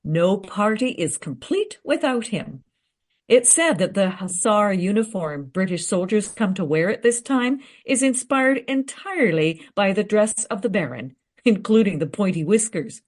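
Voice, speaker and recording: An actress playing a confident, eager researcher in a radio play, recounting history in a teasing, matter-of-fact way. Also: female voice, medium pitch, sing-song, measured pace, darkish tone. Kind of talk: radio play dialogue, storytelling.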